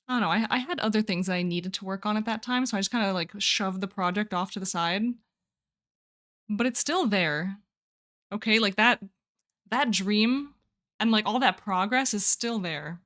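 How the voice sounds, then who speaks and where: A young woman chatting on a live stream in an easy, middle-pitched voice. She rambles from thought to thought, giggles often, and stops to answer her viewers' messages. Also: a bit bright. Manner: reads and answers chat. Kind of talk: casual live-stream chat.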